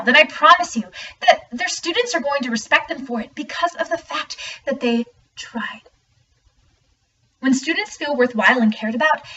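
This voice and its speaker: Earnest high-voiced student, acted by a young woman. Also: bright, forceful tone. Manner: earnest, emphatic. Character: earnest student speaking out about teachers. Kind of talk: scripted dramatic monologue. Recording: muffled, distant mic, faint noise.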